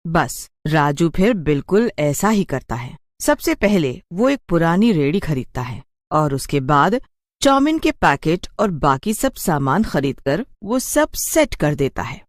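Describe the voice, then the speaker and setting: A narrator reading a scripted cartoon story in an expressive storytelling manner. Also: mid-range voice, measured pace, no room sound, studio quality.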